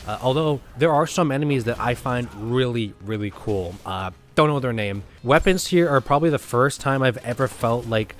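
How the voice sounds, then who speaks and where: A man's voice: medium pitch, animated, normal speed, few pauses. A man hosting a casual video game review, talking in a blunt, rambling, conversational way.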